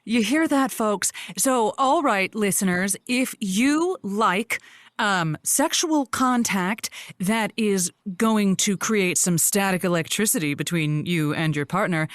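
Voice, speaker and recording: Close and dry, a female advice radio host with a mid-pitched voice. She is frank and wry, sometimes halting and then punchy, in the manner of a comedy call-in show. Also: no room sound. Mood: amused, hosting.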